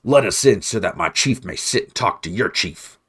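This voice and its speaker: A man voicing a brash, swaggering male rat henchman with loud, blustering calls. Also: on the low side, moderate pace, vocal fry, slightly rough, voice pushed up, exaggerated voice. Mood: bold.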